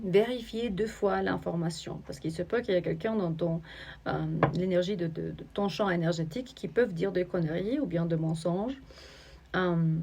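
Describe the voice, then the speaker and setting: Halting woman reading oracle cards. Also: medium pitch, darkish tone. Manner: searching, halting, speaks straight to the viewer. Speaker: oracle card reader. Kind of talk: spiritual oracle reading to camera.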